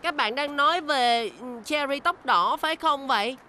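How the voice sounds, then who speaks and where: A woman voicing a kind, earnest young talking bus in a children's cartoon dub, speaking gently and politely. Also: high voice, moderate pace, a little hiss or hum. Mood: puzzled, concerned. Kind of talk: dubbed children's cartoon acting. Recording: loud music behind the voice.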